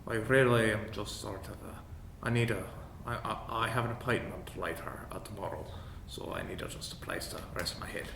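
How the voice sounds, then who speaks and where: A man's voice: fairly quick, gravelly, hardly any pauses. Man as a hesitant dwarf inventor, echoey room. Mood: polite, hesitant. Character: polite, hesitant male dwarf inventor and seasoned traveller. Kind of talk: in-character tabletop roleplay. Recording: roomy echo, low rumbling background noise.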